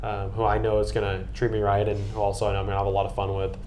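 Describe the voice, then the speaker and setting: A man's voice: fairly low pitch, monotone, racing, darkish tone, a little hiss or hum. An American man chatting casually and earnestly on a podcast, with an enthusiastic manner. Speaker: podcast guest and co-host recapping a trip.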